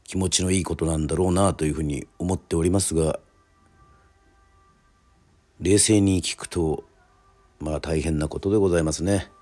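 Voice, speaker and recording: Deep-voiced man narrating a radio show. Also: steady tone, normal speed, long pauses, a little mellow, faint music behind. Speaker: radio drama narrator and host.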